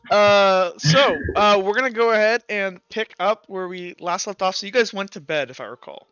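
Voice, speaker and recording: Bright, higher-voiced man running a game. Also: moderate pace, clear, resonant tone, dry recording, lo-fi sound. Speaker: game master. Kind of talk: casual chat running a tabletop roleplaying session.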